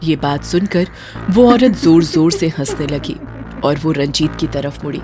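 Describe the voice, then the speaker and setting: A woman's voice: low-pitched, expressive, measured pace, mellow, soft background music. A woman narrating a scripted horror story in a dramatic storytelling style.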